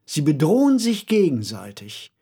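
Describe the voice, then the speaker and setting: A man's voice: fairly high pitch, huge pitch swings, moderate pace, warm tone, husky. A man co-hosting a conversational discussion, speaking in an emphatic, reflective storytelling way. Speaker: podcast co-host and author. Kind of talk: conversational discussion of a radio play.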